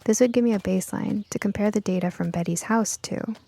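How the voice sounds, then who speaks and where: Creaky-voiced woman narrating a story. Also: medium pitch, brisk, mellow. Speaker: audiobook narrator. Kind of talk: scripted first-person story narration.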